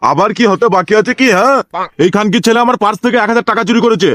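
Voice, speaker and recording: A man's voice: high-pitched, rapid-fire, a bit bright, dry recording, clean studio sound. A man voicing an irascible, foul-mouthed old grandfather in a comic cartoon, with a scolding, blustering manner. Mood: furious scolding.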